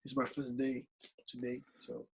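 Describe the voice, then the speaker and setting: A man from Congo, raised in Paris, talking as an interview guest in halting English, tired after a workday. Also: medium pitch, completely flat delivery, creaky, breathy edge. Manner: halting non-native English, soft-spoken. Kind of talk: casual interview conversation.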